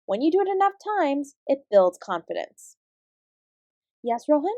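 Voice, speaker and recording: A woman acts out an apsara living quietly as a dance teacher in an audio drama. Her voice sits fairly high and moves with very animated intonation. She has an instructive, composed manner, recorded in a dry space. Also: normal speed, dry recording, muffled recording. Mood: proud, encouraging. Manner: encouraging, instructive, addressing a class. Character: female apsara dance teacher, warm, encouraging, defensively composed. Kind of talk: roleplay audio drama acting.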